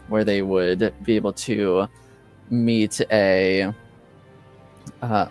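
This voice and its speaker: A man with a fairly low voice acts as game master for a tabletop game. He recaps the story slowly and haltingly, leaving long thoughtful pauses. Faint music plays behind him. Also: darkish tone.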